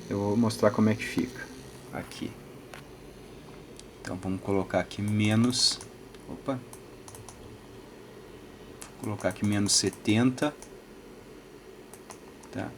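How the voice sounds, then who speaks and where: A Brazilian man with a medium-pitched voice talks through technical material informally. His delivery is didactic and a little halting, punctuated by small check-in tags. Noticeable background noise sits under the voice. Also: a little mellow. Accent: Brazilian Portuguese. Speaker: audio channel host and reviewer. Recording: clear background noise, room ambience.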